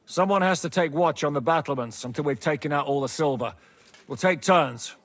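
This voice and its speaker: A man's voice: fairly high pitch, brisk. An actor playing a commanding male raid captain giving orders in a businesslike way.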